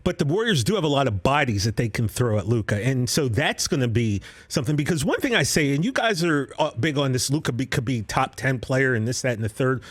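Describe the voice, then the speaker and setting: A man co-hosting a sports podcast, telling animated stories in casual banter. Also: mid-range voice, fairly quick, few pauses. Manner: animated storyteller, trash-talking banter. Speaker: podcast co-host.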